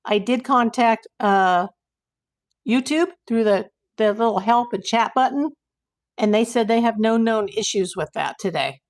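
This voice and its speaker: A woman hosting a casual livestream, hoarse and losing her voice, rambling in exasperation while she reads viewers' comments. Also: on the high side, unhurried. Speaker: YouTube livestream host.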